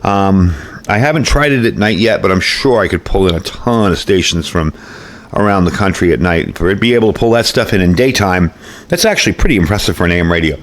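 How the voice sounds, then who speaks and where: Male product reviewer, slightly deep voice, conversational and folksy, casual hands-on demo. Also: lively intonation, fast.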